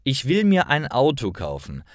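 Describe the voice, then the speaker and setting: Eager young male character , played by a male narrator, very expressive, dry sound, storybook dialogue. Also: mid-range voice, moderate pace, dry recording, pitched above the performer's own voice. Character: earnest young man asking his friend for advice. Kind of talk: acted dialogue in a learner story.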